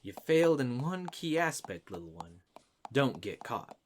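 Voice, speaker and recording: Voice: mid-range voice, sing-song, unhurried, noisy background. An actor voicing a suave, roguish pony father with a courteous, sly manner. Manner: smooth-talking, courteous, sly. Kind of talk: animated fan audio drama.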